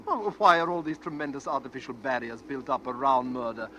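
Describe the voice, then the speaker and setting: An actor playing a smug, genteel stranger with a condescending, suave manner in an old-time radio drama. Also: male voice, medium pitch, a little fast, pushed and bright, close to an everyday voice. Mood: gleefully sinister. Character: smug, genteel, sinister male stranger boasting of murder. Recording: noisy vintage radio recording.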